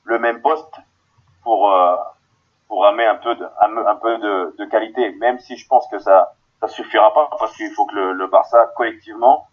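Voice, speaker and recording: Low-voiced man on a lo-fi call. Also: steady tone. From Paris, France. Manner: halting, many restarts and fillers. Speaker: football guest. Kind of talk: podcast interview answers. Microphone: remote call.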